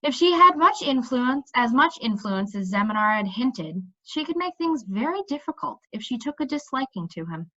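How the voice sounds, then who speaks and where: A woman reading a children's story aloud in an expressive, animated storytelling manner. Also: a little high, highs cut off. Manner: animated, pausing to check in with listeners.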